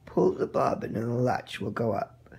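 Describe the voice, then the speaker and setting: A man's voice: a little high, sing-song, normal speed, creaky, darkish tone, slight background noise, voice pushed up, full character voice. A man voicing a sly, hungry big male wolf in a storybook, speaking in a crafty, coaxing way. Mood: falsetto disguise.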